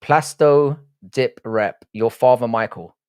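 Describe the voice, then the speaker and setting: A man's voice: medium pitch, slow pace, a little mellow, clean tone. An Irish-born man with a South London accent, chatting casually with his viewers while hosting a livestream and swearing freely. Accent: South London English. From Ireland. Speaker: livestream host. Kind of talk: casual livestream chat reading viewer comments.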